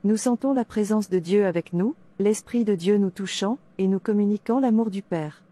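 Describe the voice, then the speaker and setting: Woman doing a solemn cartoon preacher lady, soft dark tone, medium pitch, reads it like scripture. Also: moderate pace, dry recording, lightly acted. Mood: solemn, teaching. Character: devout woman preacher instructing a king. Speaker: narrator and preacher. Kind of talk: scripted religious narration and cartoon dialogue.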